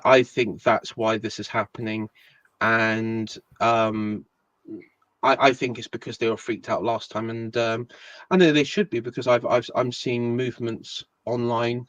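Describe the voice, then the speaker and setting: A man's voice: medium pitch, dry recording, lo-fi sound. A man co-hosting a casual online political chat, rambling with many fillers like um and you know.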